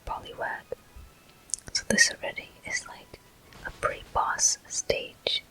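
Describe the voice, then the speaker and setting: Slow, breathy ASMR whisper, gaming commentary. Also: rough recording. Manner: soft, unhurried, gently narrating each step. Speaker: gaming video host.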